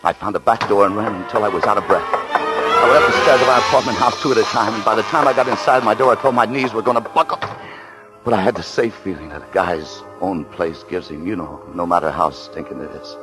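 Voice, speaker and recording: A man's voice: fairly low pitch, a little fast, natural voice, rough recording. An actor playing a nervous, stammering American husband on the run, confessing his story in a mid-century radio thriller. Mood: shaken, panicky. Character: panicky, down-on-his-luck husband on the run, narrating his crime. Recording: vintage radio recording with music behind.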